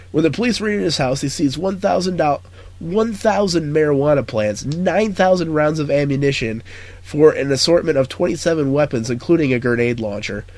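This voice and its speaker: Hoarse man, slightly high voice, casual podcast news chat, laughs a lot. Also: a little mellow, dry recording. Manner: reads out news stories, laughs often. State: hoarse from a cold. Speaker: podcast co-host.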